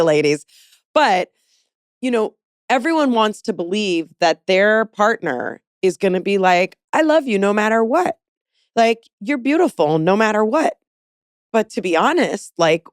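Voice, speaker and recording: Candid rambling woman host, smooth voice. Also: mid-range voice, no room sound, clean studio sound. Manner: candid, rambling, many fillers. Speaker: podcast host. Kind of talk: casual interview chat.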